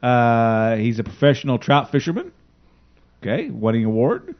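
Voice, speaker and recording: A man's voice: mid-range voice, big pitch swings, normal speed. A man co-hosting a casual internet radio talk show, joking and bantering loosely with his partner. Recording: dull lo-fi audio.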